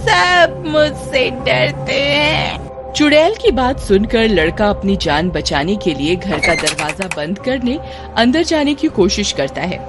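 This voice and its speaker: A woman's voice: mid-range voice, big pitch swings, a little slow, music in the background. A woman narrator reading a scripted story for children.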